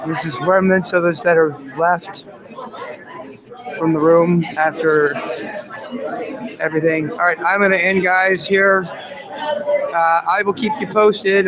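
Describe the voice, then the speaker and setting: A hoarse man livestreaming outdoors as an independent journalist, rambling with many fillers and restarts. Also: a little high. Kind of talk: casual live stream commentary. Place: outdoors among a crowd near a press conference. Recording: muffled phone audio with loud crowd.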